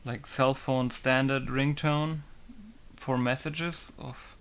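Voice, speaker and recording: A man's voice: mid-range voice, steady tone, slow, smooth. A young man modding a game, chatting casually in rambling asides while he works at his computer. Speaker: game modder. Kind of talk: casual working commentary.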